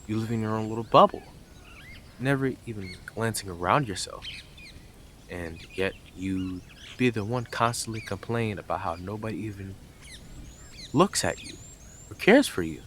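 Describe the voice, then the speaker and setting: A man's voice: on the low side, normal speed, pauses often, fairly bright tone, a little hiss or hum, lightly acted. A man playing a blunt, smug, obsessive male neighbour in an audio roleplay, with a taunting, sarcastic delivery and dramatic emphasis. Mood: smug, chiding. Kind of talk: scripted audio roleplay addressed to listener.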